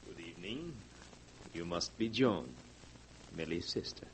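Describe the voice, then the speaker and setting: A man playing a suave, mysterious, flattering suitor in a 1940s radio drama, courtly and deliberate. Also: low-pitched. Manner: smooth-talking, courtly, deliberate. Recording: noisy vintage radio recording.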